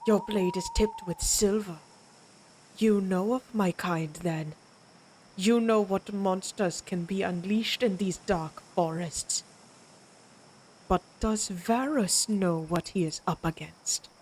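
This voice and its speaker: A menacing vampire warrior woman of the ancient tribes, acted by a woman for an audio roleplay, speaks slowly over background noise. She is proud and predatory, toying with her listener in a theatrical, taunting manner. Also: mid-range voice, a little mellow, close to an everyday voice, poor audio. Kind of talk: dramatic audio roleplay acting.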